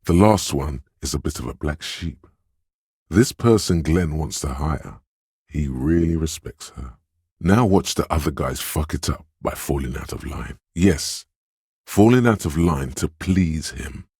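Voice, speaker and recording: A sly, persuasive voice inside the head for a video game. It sits at the very bottom of the male range and is thick with husk. The delivery is measured and knowing, sizing people up and nudging the listener, in a close, dry recording. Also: rumbling low, lively intonation, a little mellow, husky, no echo, exaggerated voice. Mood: knowing, coaching. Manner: measured, knowing, insinuating coaching. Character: Suggestion, a sly insinuating inner voice reading people and coaching. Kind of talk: scripted video game narration.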